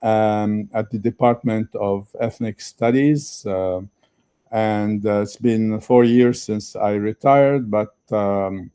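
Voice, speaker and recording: An older man from Lebanon, a retired professor speaking as a conference guest, talking slowly with many halting fillers. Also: on the low side, fairly even intonation, dark tone, clear, resonant tone. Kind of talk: conference interview.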